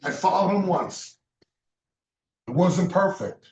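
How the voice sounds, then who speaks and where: A man giving a loud, emphatic motivational sales speech to a roomful of guests, sometimes shouting to drive a point home. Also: sits high, slow. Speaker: business leader speaking at a recruiting event. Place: meeting room with an audience. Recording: echoey room, mic from far off.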